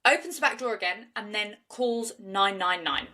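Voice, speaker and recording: A woman's voice: mid-range voice, normal speed, sharp tone, far from the mic. A young woman from South East London with a local accent, co-hosting a true-crime podcast and telling the story in a chatty, jokey way. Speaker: podcast co-host. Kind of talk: casual true-crime chat.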